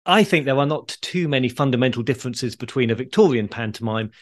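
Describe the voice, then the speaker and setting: A man hosting a history podcast, explaining things in a measured, conversational way. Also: mid-range voice, animated. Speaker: podcast host.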